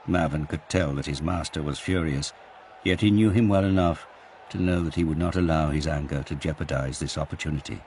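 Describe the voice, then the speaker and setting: A man's voice: a bass voice, a little fast, a little mellow, a little hiss or hum. A man giving dramatic scripted narration for an audio drama. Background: music.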